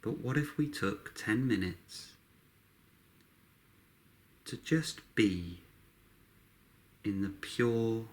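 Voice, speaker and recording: A man guiding a meditation, speaking calmly in short, unhurried phrases with long pauses between them. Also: lowish voice. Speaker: meditation guide. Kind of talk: guided meditation narration.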